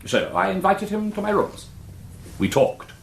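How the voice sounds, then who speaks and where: An actor playing a dignified, cultured English college principal, giving courteous, careful testimony in a radio drama. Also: male voice, high voice, very animated, unhurried, creaky, a little hiss or hum, full character voice. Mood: careful, self-justifying. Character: dignified, cultured male Oxford college principal on the witness stand. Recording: echoey courtroom sound.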